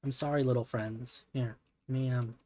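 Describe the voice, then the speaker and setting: A man streaming from his computer, chatting casually in a soft, relaxing voice, rambling with lots of fillers and self-deprecating asides. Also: mid-range voice, steady tone, moderate pace. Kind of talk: casual livestream chat while building.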